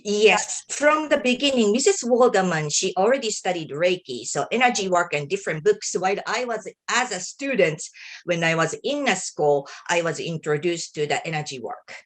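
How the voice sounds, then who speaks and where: A woman from Japan, a native Japanese speaker with a Japanese accent, speaking as an interview guest in earnest, halting non-native English with pauses. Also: fairly low pitch, expressive. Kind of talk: casual conversation.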